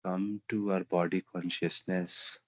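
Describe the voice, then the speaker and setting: Very slow, deep-voiced Indian man guiding meditation. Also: little pitch movement, dry recording. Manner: gentle, unhurried pauses, simple repeated phrases. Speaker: meditation guide. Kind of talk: guided meditation, slow calming instruction.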